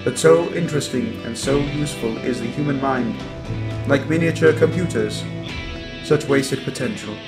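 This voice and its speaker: A man voicing a coldly superior, menacing robot overlord in a roleplay monologue, with deliberate delivery and stuttering glitches on words. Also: medium pitch, over music, close to an everyday voice. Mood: calm, superior.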